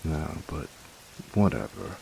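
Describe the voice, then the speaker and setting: A male voice actor as a young tough-guy boyfriend in an intimate audio roleplay, with an extremely low, slow-paced voice that moves expressively up and down. He teases in casual slang and turns halting and affectionate when he gets sincere. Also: big pitch swings, creaky, darkish tone, slight background noise, exaggerated voice. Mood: content, teasing. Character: gruff, teasing young bully turned affectionate boyfriend.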